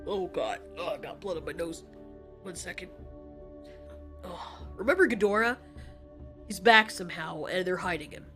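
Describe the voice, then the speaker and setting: A woman voicing a callous male giant reptilian monster in an audio drama, deadpan, dismissive and sarcastic. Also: fairly low pitch, moderate pace, pauses often, faint music behind. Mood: matter-of-fact. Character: cocky, callous male giant reptilian kaiju, coolly menacing.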